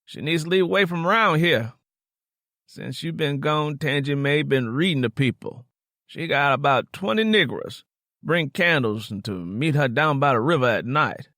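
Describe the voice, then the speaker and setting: A man's voice: a little high, animated, normal speed, bright, forceful tone, dry recording, studio quality. A man voicing a blunt, worried old grandmother with an American Southern accent, scolding in an audiobook. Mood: approving, then scolding. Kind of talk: audiobook character dialogue.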